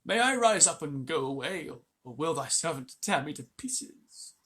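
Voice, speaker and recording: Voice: mid-range voice, big pitch swings, normal speed, a bit bright, slightly rough. A storyteller voicing a frightened old village hunter who mutters through his teeth, then pleads. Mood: fearful.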